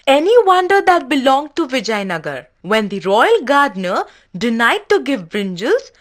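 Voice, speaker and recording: A woman presenting a children's story show, explaining morals and new words in a cheerful, deliberate, teacherly way. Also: sits high, big pitch swings.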